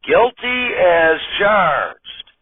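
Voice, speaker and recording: A grinning, scheming prankster, as a man puts him on drawls slowly and smugly. The sound is thin and tinny, like over a phone. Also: high-pitched, big pitch swings, a crowd in the background, voice pushed up, heavily acted. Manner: slow smug drawl.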